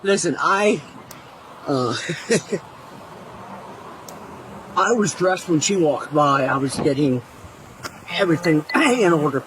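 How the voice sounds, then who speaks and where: Male suspect, slightly higher-pitched, halting, informal and defensive, captured on noisy outdoor body-camera audio. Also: lively intonation. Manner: defensive, halting, repeats himself. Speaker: suspect being questioned by police. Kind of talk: informal, protesting speech. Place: outdoors in a park. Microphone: police officer's body camera.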